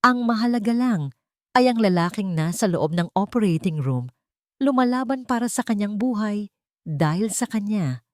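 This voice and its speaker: A woman with a Filipino accent reads a scripted romance story in an emotive, deliberate, dramatic way. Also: mid-range voice, lively intonation, a little mellow, no room sound. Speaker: story narrator.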